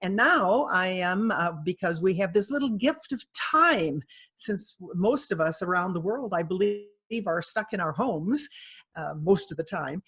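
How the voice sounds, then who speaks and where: A woman author speaking as a guest on a video call, chatty and animated, laughing often. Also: on the low side, a little slow, husky. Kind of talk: video call interview, conversational.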